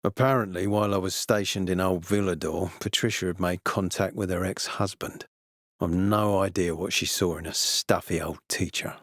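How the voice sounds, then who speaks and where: Gruff, weary army officer for a video game, male actor: low, dry close sound, measured and authoritative. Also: fairly even intonation, no echo, close to an everyday voice. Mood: weary, regretful. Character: gruff, weary military officer, commanding, later a regretful father.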